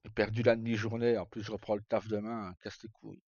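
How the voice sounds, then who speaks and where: Low-voiced Frenchman streaming, roomy dull sound. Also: little pitch movement, fast talker, a little mellow. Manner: colloquial and sweary, talks in short, broken-off phrases. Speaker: live streamer. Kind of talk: casual, unscripted livestream chat to viewers. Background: faint game music.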